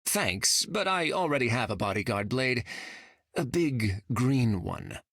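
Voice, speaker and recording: An actor playing a mild, thoughtful scientist hero in a video game. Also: male voice, medium pitch, huge pitch swings, a little slow, a bit bright, husky, no room sound, studio quality, full character voice. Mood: mild, wry. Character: mild-mannered male scientist with a monstrous alter ego.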